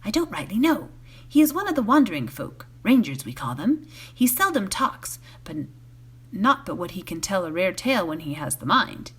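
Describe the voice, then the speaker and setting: A woman's voice: medium pitch, big pitch swings, normal speed, fairly bright tone, husky, full character voice. A woman voicing a chatty male innkeeper in a storybook, confiding gossip in folksy rustic talk. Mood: confiding, gossipy.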